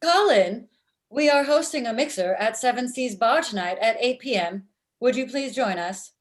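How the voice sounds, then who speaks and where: A woman's voice: on the high side, expressive, moderate pace, close to an everyday voice. A woman playing a blunt, lovestruck robot matchmaker with stilted, literal speech in a comedy table read over video call. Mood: blunt, insistent. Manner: stilted, uncontracted, machine-like phrasing.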